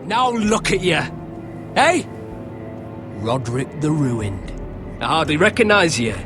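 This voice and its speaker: A bullying young lord commanding a garrison, with a high, pushy voice. He is coarse and taunting, full of spite and self-importance, in game-drama style over prominent music. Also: sing-song, slow pace. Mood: mocking, contemptuous. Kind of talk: video game drama acting. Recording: music behind the voice.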